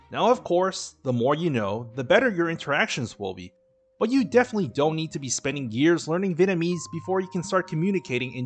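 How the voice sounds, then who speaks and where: A man giving scripted voice-over narration for his vlog, in a steady, instructive, advice-giving manner. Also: a little high. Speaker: dating and language vlogger.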